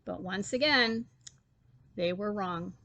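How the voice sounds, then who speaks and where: A woman storyteller giving an expressive reading of a children's story. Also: medium pitch, slow pace, no room sound, dull audio.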